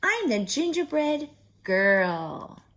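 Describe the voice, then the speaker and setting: A woman voicing a plucky, clever gingerbread girl from a children's storybook with a drawn-out, sing-song delivery. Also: on the high side, very animated, fairly bright tone, pitched above the performer's own voice, full character voice. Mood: triumphant.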